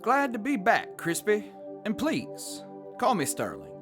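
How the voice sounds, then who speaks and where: A man's voice: sits high, huge pitch swings, a little slow, music in the background, heavily acted. A man playing a genial, folksy army major as an interview guest in a radio drama.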